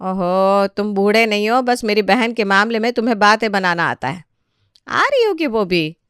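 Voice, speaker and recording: A woman voicing a sharp-tongued, defiant middle-aged wife and daughter-in-law who argues back in an animated family story. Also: medium pitch, normal speed, smooth, no echo, studio quality, lightly acted. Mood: teasing retort. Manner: argumentative, retorting.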